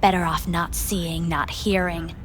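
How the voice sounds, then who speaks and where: A woman's voice: fairly low pitch, level tone, a little slow, big-room sound, a little hiss or hum, natural voice. A woman playing a wary, indignant club worker in a video game, curt and evasive. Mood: reluctant, wary.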